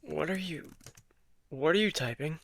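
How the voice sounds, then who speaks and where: A man playing a drained, reluctant vampire in an immersive audio drama, speaking in terse, halting, stammering phrases. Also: fairly high pitch, vocal fry, fairly bright tone, dry recording, heavily acted. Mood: weary, bewildered.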